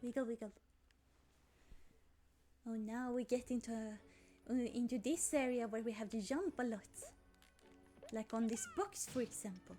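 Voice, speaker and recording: Young woman, Swedish accent, rather high voice, unhurried rambling game chat, soft game music behind. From Sweden. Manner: chatty, rambling and apologetic, squealing with delight at cute things. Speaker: gaming YouTuber. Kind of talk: casual let's play commentary.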